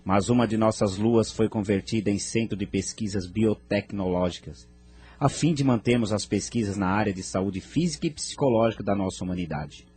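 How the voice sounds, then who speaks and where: Man acting a wise alien elder, low register, very husky, formal, measured, music underneath. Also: a little mellow. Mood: stately. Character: noble male elder of an advanced alien people, courteous, wise. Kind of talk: audio drama acting.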